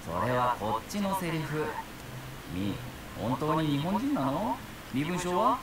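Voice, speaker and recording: A man's voice: a little high, very animated, moderate pace, recorded from a distance, a loud crowd, poor audio. An actor playing a dutiful young male Japanese police officer in a visual novel, exasperated but patiently questioning.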